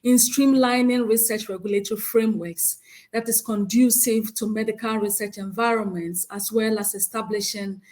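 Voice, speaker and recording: A woman with a Ghanaian accent chairs a conference session and reads out a speaker's biography, with frequent hesitant pauses. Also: medium pitch, darkish tone. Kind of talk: conference moderation, reading a speaker bio.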